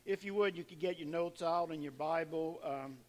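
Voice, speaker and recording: A male pastor leading a church service, fervently urging the congregation to praise and chuckling through casual asides, his voice a little hoarse. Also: a little high, moderate pace, a little mellow. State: slightly hoarse from worshiping. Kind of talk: church service exhortation and announcements. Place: church sanctuary. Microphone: handheld or stage microphone.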